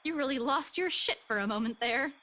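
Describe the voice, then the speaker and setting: Young female game character: bright, animated, very high-pitched, expressive tease. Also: fairly quick. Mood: amused, apologetic. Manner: playful, expressive. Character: teasing, giggly young woman. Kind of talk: voiced visual novel dialogue.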